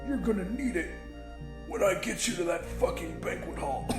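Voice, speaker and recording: Male narrator voicing a battered, bitter audiobook survivor: grieving, halting delivery, coughs, profanity, roomy sound. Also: high voice, full character voice. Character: gruff, bitter, wounded grieving man, coughing.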